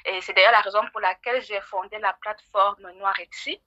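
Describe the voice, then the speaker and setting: A middle-aged woman speaks as an expert guest, explaining in a conversational way. Her voice is bright and forceful, and the recording is dry and a little dull. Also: mid-range voice, steady tone, fairly quick, dry recording. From Cameroon. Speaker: psychologist guest.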